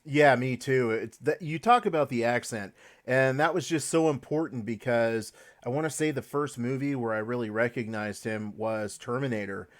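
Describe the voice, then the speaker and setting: A man's voice: mid-range voice, moderate pace, hardly any pauses. A man hosting a casual podcast chat, leading the talk with hedges and restarts. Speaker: podcast host.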